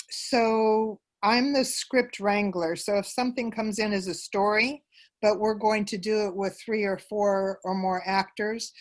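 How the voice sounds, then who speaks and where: A woman giving conversational answers as an interview guest about her work in a theater troupe. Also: mid-range voice.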